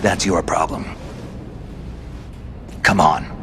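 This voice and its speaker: A man playing a gruff, world-weary veteran soldier in a video game drama, speaking tersely and firmly with a gravelly voice. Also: low-pitched, fairly even intonation, slow pace, background noise. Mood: solemn, resolute. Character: gruff, steady veteran male soldier mentoring a rookie. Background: loud music.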